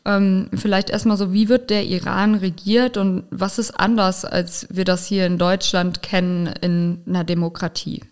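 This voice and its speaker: A woman's voice: mid-range voice, level tone, normal speed, few pauses, clear, resonant tone. A woman hosting a political podcast, asking questions in a chatty way with many fillers. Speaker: podcast host. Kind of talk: casual interview hosting.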